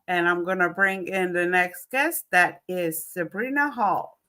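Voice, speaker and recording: A woman's voice: on the low side, unhurried, pushed and bright. A woman with an Estonian accent, a non-native English speaker, hosting an online interview with friendly, easygoing questions. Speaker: host.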